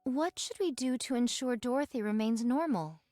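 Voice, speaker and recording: A woman's voice: fairly high pitch, animated, normal speed, mellow, no echo, studio quality, natural voice. A woman voicing a haughty, genteel lady with lofty, archaic diction in an anime-style game. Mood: concerned, formal.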